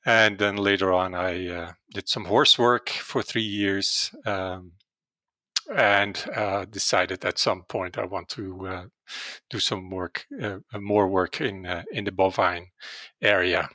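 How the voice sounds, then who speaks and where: A man's voice: low-pitched, steady tone, takes it slowly, bright, forceful tone, no echo, clean studio sound. A middle-aged man from the Netherlands with a Dutch accent, a veterinary professor explaining calf disease in a podcast interview, hesitant with many fillers. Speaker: veterinary virology professor, guest.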